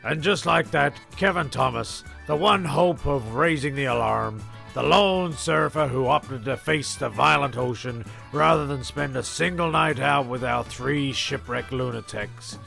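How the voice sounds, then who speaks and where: A very gravelly, crackling voice over faint music: a man as the narrator of a castaway comedy. He is deadpan and wry, with a forceful edge as he tells the tale. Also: medium pitch, measured pace, hardly any pauses, full character voice. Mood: mock-solemn. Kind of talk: scripted comic narration.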